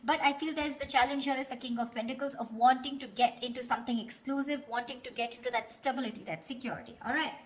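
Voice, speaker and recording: High-voiced woman giving a casual tarot reading. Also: slight background noise, low-quality recording. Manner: conversational, blunt, casually sweary. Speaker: tarot reader.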